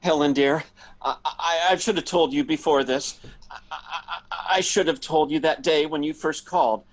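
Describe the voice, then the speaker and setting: A man's voice: a little high, steady tone, moderate pace. A man acting a nervous, hesitant middle-aged toy salesman in a 1940s-style radio drama. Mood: nervous confession. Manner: hesitant, stammering, flustered.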